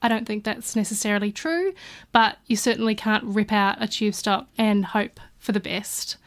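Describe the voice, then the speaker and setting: A woman's voice: mid-range voice, normal speed. A woman from New Zealand in casual podcast chat, telling stories with frequent fillers and restarts. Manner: chatty, warm anecdotes with many fillers and restarts. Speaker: guest artist studio partner. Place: studio.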